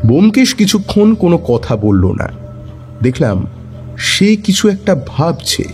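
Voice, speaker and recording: A man's voice: on the high side, sing-song, a little slow, darkish tone. A man narrating a detective story in the first person, with a wry, dryly humorous manner. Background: faint background music.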